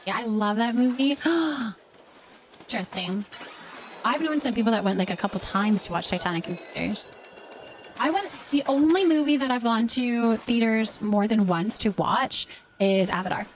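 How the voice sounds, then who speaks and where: A woman's voice: fairly high pitch, lively intonation, moderate pace, slight background noise. A middle-aged woman hosting a casual live stream, chatting informally and thanking viewers as she answers their comments.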